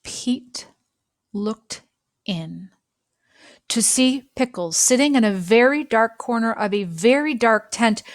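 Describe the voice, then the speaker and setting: A woman with a slightly high voice narrates a picture book for children. She takes her time, phrasing deliberately and pausing for drama. The sound is dry, with very little room in it. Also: no room sound. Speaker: storybook reader. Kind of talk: expressive children's read-aloud narration.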